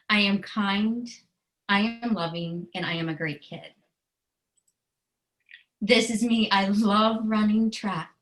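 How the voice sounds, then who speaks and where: A woman who is a children's author, reading aloud and explaining in a deliberate, earnest way with simple phrasing. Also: mid-range voice, rough recording. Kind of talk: storybook read-aloud and explanation.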